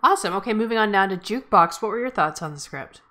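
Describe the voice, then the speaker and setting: A woman's voice: medium pitch, lively intonation, quick pace, bright, forceful tone. A woman hosting a casual group feedback discussion, speaking informally. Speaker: table read host.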